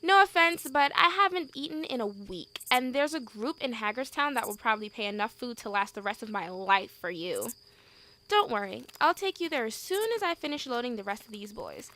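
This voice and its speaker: A woman's voice: a little high, sing-song, brisk, pushed and bright, heavily acted. A woman acting in an audio drama as a callous, hungry mercenary captor with a blunt, dismissive manner.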